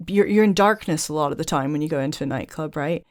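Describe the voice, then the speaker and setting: A woman's voice: sits low, fast talker. A woman hosting a podcast interview, talking earnestly and thoughtfully, building her points in long connected runs. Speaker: podcast host. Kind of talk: reflective conversational interview.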